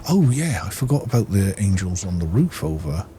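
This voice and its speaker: A man's voice: deep voice, very animated, a little fast, noisy background. A man giving casual gameplay commentary, muttering to himself as he plays. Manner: muttering to himself while playing. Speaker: gamer, video creator.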